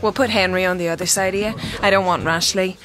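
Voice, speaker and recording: An actress playing a frank, self-assured young woman of the 1920s in a radio drama, brisk and teasing. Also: on the low side, fairly even intonation, a bit bright, close to an everyday voice. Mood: frank, mocking. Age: young adult.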